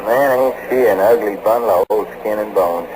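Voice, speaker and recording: An actor playing a folksy, wisecracking, good-hearted adventurer with a drawling American Southern accent in a 1940s radio serial. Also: male voice, lowish voice, moderate pace, close to an everyday voice. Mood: wry. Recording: noisy old radio serial recording.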